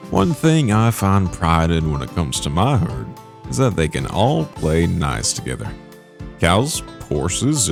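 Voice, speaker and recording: Guy doing a folksy modest cowboy for an audio drama, real deep and croaky, bit of music behind. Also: heavily acted. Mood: easygoing, proud. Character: gentle, modest young cowboy animal tender. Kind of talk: amateur audio drama acting.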